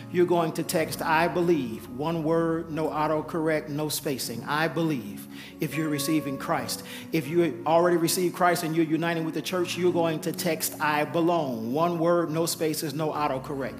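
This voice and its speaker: A man who is a pastor giving an altar call and announcements to his congregation, with a hoarse voice he says he keeps losing. He talks in a coaxing, repetitive preaching manner. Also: fairly high pitch, moderate pace. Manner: urgent repeated coaxing, preacherly. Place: church sanctuary. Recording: live church sound with music and crowd. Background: music and congregation.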